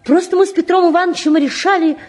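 An actress playing a fussy, evasive middle-aged housewife in a radio play, hesitant and politely apologetic. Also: female voice, very high voice, steady tone, fast, darkish tone. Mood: flustered, evasive. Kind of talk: 1950s radio play acting.

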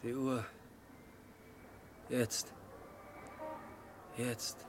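Very slow, dazed, despairing man. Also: mid-range voice, little pitch movement. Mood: dazed dread. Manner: halting, fragmented, murmuring to himself. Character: despairing young man on a ledge, dazed and fatalistic. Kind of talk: 1960s German radio drama acting. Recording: old mono radio play, crowd noise behind.

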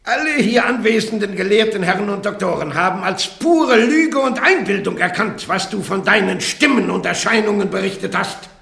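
A man's voice: high-pitched, moderate pace, creaky, few pauses, a bit bright, reverberant. An actor playing a solemn church judge who admonishes and threatens an accused woman in a German radio play. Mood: stern. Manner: solemn, admonishing, grows heated. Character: stern churchly prosecutor interrogating a heretic. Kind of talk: 1950s-60s German radio drama.

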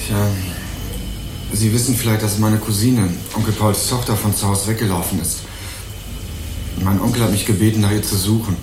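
A man's voice: lowish voice, fairly even intonation, moderate pace, reverberant, noisy background, close to an everyday voice. An actor playing a young student turned amateur investigator, courteous and hesitant but insistent in his questions, in a German crime radio play. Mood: polite, careful. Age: young adult.

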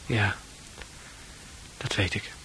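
Hissy recording, gentle concerned man. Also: very slow, rough recording. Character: gentle, concerned male listener drawing out a confession. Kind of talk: Dutch radio play acting. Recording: hissy old radio recording.

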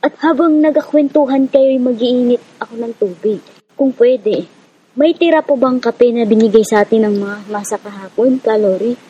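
A woman with a Filipino accent playing a kindly, determined guerrilla comrade with a slightly husky voice in a radio drama. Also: fairly high pitch, normal speed, lo-fi sound.

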